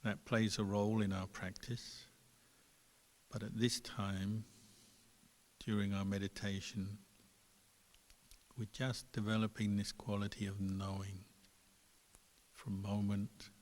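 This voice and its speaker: Male meditation guide, lowish and very level, calm and unhurried, in long hall reverb. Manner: calm, unhurried, gentle pauses between phrases. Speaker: Buddhist monk, meditation teacher.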